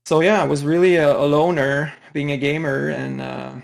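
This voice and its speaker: Gravelly French-Canadian man. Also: a little high, flat delivery, normal speed. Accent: Quebec French. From Quebec, Canada. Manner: hesitant, many fillers and restarts. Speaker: podcast guest. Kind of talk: casual interview chat.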